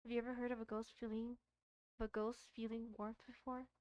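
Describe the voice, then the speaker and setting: A woman streamer reading a poem from a game aloud in a slow, dramatic style. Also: a little high, flat delivery, darkish tone, no room sound, muffled recording.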